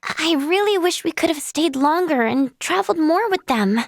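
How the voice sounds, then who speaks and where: An earnest young scholar and healer from a video game. The voice is very high and girlish, with expressive, bubbly delivery. The sound is dry and free of room echo. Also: moderate pace. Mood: wistful.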